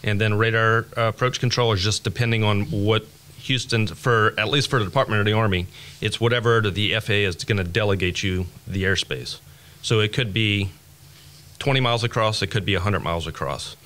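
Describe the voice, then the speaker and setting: A man's voice: on the low side, brisk, sharp tone. A middle-aged man giving informal technical explanations as a guest, in a matter-of-fact way. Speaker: air traffic controller, podcast guest. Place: small indoor podcast studio. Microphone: close desk microphone.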